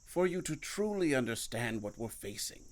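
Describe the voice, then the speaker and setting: A man's voice: medium pitch, big pitch swings, normal speed, close to an everyday voice. A man playing a solemn believer who guides a younger man against evil in an audio drama, speaking insistently and portentously.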